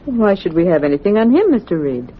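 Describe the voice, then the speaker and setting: An actress playing a bright, capable newspaper secretary in an old-time radio drama. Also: female voice, mid-range voice, sing-song, fairly quick, close to an everyday voice. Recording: dull old radio recording, faint noise.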